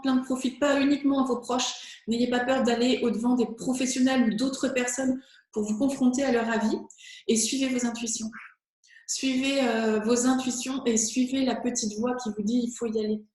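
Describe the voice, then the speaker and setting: French woman, guest speaking coach, slightly high, eager, very echoey room. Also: steady tone, normal speed, a little mellow. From France. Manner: enthusiastic, fluent with occasional fillers. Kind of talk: interview answers.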